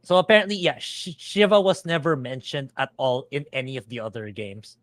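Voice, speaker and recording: A man's voice: medium pitch, brisk, no room sound. A man guest on a casual podcast, opinionated, with hesitant starts.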